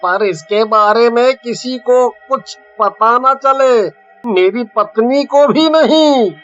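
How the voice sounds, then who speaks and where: A man's voice: very high-pitched, slow, fairly bright tone, exaggerated voice. A man playing a secretive married villager in a cartoon horror story, stammering when afraid. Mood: plain. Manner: stammering in fright. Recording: dull lo-fi voice track.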